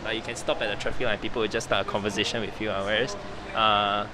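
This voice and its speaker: A man with a low voice answers an interview question. He talks quickly and relaxed. The tone is casual. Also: heavy background noise.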